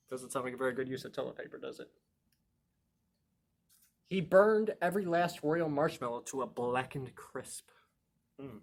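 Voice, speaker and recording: A man with a medium-pitched voice reads a picture book to children. He is playful and expressive, stressing key words. He slips into chatty, questioning asides as a storytime host. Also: vocal fry, slight background noise. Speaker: library storytime reader and host.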